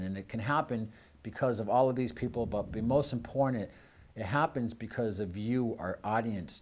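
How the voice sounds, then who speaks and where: Low-voiced American man hosting a community show. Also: darkish tone. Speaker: host of a community radio and TV show. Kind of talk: unscripted closing address to audience with thanks.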